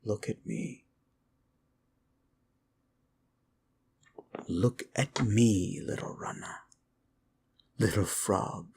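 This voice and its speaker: Man playing a low, slow, sly demon. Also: creaky, a little mellow, slight background noise. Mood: coaxing. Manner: slow, teasing, condescending. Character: sly, evil male demon, a taunting manipulator. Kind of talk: intimate ASMR audio roleplay.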